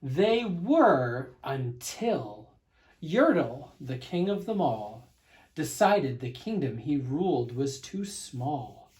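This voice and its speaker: A man reading a children's storybook aloud as narrator, with expressive, dramatic emphasis. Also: a little high, slow pace, poor audio.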